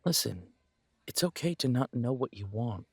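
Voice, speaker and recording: A man playing a supportive, self-deprecating best friend in a casual roleplay audio drama, speaking in an earnest, encouraging, conversational way with pauses. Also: mid-range voice, animated, gravelly, breathy edge, no echo. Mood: reassuring, earnest.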